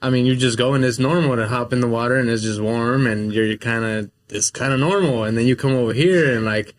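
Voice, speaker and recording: A man's voice: mid-range voice, brisk. A man born in Mexico, a guest on a talk show, chatting casually and rambling with many fillers. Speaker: guest, business owner. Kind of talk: casual chat.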